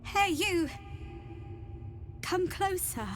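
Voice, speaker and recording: A woman's voice: very high voice, drawn-out words, faint music behind, heavily acted. A woman playing a starving, confused young female vampire captive, speaking in strained fragments in a dramatic video game style. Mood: anguished rage.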